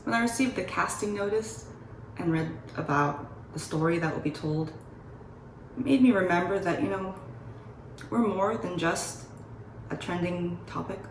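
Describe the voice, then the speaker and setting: A woman from Alaska, an actor introducing herself in a measured, earnest way. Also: on the low side, far from the mic, a little hiss or hum. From Juneau, Alaska, United States. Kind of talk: casual self-introduction. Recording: roomy, from off-mic.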